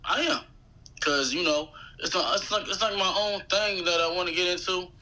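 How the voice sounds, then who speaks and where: Man, thirties, American accent, slightly high pitch, very bright forceful tone, slangy, casual interview guest. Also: moderate pace, rough recording. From United States. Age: 30s. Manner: slangy, conversational. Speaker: guest, rapper.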